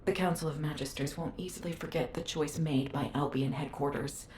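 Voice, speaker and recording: Female actor as a cool council member, video game, formal, deliberate, low-pitched, faint noise. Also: fairly even intonation, darkish tone, lightly acted. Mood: cautioning. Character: cool, dignified female councillor, a discreet ally. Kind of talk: scripted video game dialogue.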